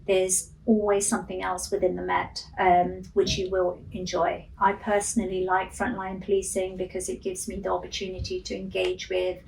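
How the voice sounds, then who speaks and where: A woman's voice: lowish voice, monotone, moderate pace, creaky, few pauses, darkish tone. A middle-aged woman police officer whose first language is Urdu, talking earnestly in a radio interview with many ums. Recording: distant mic with faint noise.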